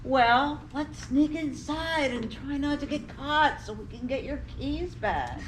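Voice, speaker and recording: A woman's voice: high voice, animated, unhurried, a little hiss or hum, low-quality recording. A woman reading the part of a teasing, practical young friend in an amateur play. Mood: urgent.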